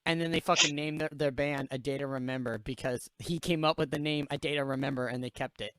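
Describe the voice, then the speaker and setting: A man in his late twenties chatting on a podcast with a smooth, resonant voice, recorded dry and close. He tells rambling stories and swears freely. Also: medium pitch, fairly even intonation, fast talker, fairly bright tone, no echo. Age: late 20s. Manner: loose storytelling, swears freely, laughs at own jokes. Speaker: podcast host. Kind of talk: casual chat.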